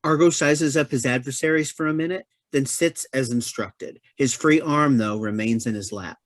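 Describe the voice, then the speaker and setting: Very low-voiced woman reading stage directions. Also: moderate pace, no echo. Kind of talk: scripted narration for play reading.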